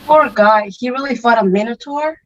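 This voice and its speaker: Wry bad-boy teen counselor, acted by a woman, higher register, slightly dull home audio. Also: normal speed, natural voice. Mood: casual, teasing. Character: Luke, cool bad-boy teenage camp counselor, wry. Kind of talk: online table read of a stage musical.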